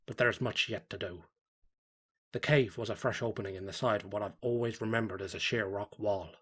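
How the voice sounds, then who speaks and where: A man playing a fervent cult devotee confiding in a diary, in solemn, measured horror story narration. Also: on the low side, a bit bright, breathy edge. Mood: grave, devout.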